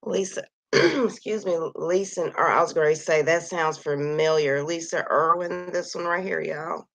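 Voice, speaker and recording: A woman with a low, gravelly voice that sounds like it is going hoarse talks slowly and softly. She reads from a list and muses aloud into a computer mic, sighing as she goes. The sound is dry and close, with no room in it. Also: no echo. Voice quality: gravelly, slightly husky voice. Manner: slow, soft-spoken, sighing and musing aloud. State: losing her voice. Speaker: vlogger reading a missing persons list. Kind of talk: casual screen-share commentary. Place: at home near Kansas City.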